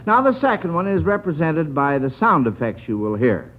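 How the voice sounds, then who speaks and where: Male radio quiz host, lively and swooping, folksy and chatty, vintage narrow-band broadcast sound. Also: fairly high pitch, fairly quick, very noisy. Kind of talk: jovial old-time radio quizmaster, questioning children.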